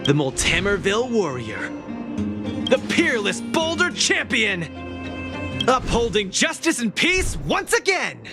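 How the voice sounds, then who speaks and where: High-voiced, cocky young champion. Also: male voice, huge pitch swings, a little slow, over music, heavily acted. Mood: upbeat. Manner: boastful, upbeat, theatrical. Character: cheerful, cocky young male boxing champion, boyish hero. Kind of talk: anime-style game voice acting.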